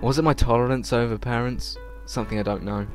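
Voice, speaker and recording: A man reads visual novel text aloud as a let's play host. His mid-pitched voice is informal and trips over the script now and then, with faint game music behind him. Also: fairly even intonation, fast. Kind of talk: reading visual novel text with casual reactions.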